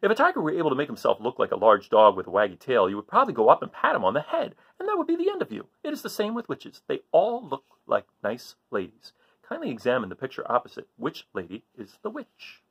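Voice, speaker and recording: A man's voice: mid-range voice, wildly swooping pitch, fast talker, creaky, fairly bright tone, slightly rough. A man reading a children's book aloud in a theatrical, emphatic way, drawing out phrases for suspense. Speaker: storybook reader for children.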